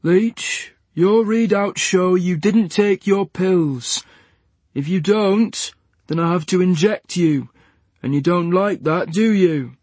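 A smug male ward carer talks down to his patients in a raised, sing-song voice, as a male narrator puts him on. He speaks slowly and evenly, with a husky edge. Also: high voice, darkish tone, dry recording, pitched above the performer's own voice. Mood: patronizing. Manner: patronizing, soothing, slow. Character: patronizing male hospital carer, calm.

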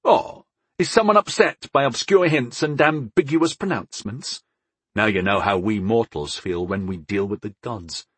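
A man's voice: low voice, steady tone, normal speed, few pauses, fairly bright tone, slightly rough, no room sound. A man playing a sarcastic, daring wizard in a fantasy audiobook, wry and musing with eager recklessness. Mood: mocking.

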